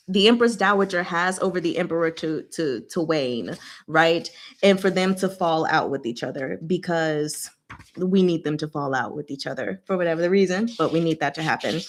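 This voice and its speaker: A woman with a mid-pitched voice hosts a casual book discussion. She is chatty and playful, with a giggly streak. She retells scenes loosely in slang, slipping into the dialogue as she goes. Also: fairly bright tone.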